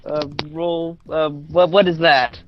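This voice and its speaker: A man's voice: fairly high pitch, level tone, slow pace, muffled recording, soft background music. A man playing a tabletop game, chatting casually and hesitantly as he thinks aloud.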